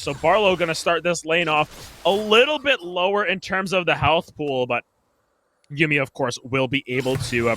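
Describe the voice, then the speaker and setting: A man giving live play-by-play commentary on an esports match, animated, with frequent filler pauses. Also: on the high side, a little hiss or hum.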